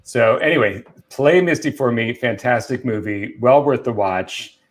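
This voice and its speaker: A man with a mid-pitched voice hosts a casual panel discussion. He explains film trivia with evident enthusiasm, in an informal, conversational way. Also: animated, measured pace. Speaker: film talk show host presenting movie trivia.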